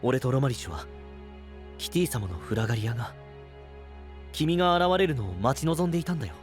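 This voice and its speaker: A man playing a dutiful guardian knight in an anime-style voice drama, grave, gentle and earnest. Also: mid-range voice, big pitch swings, fairly quick, faint music behind, lightly acted. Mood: earnest, resolute.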